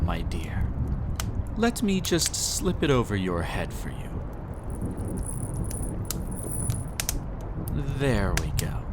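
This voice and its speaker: A man playing a possessive, wicked prince in a hypnosis roleplay audio, speaking in a deliberate, coaxing, commanding and pompous way. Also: mid-range voice, background noise. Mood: smug. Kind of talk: scripted roleplay audio, slow hypnotic monologue.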